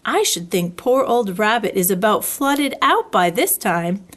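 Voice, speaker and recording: Woman reading as amiable storybook bear, lively pitch. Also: unhurried. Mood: sympathetic. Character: friendly, sympathetic male toy bear in a storybook.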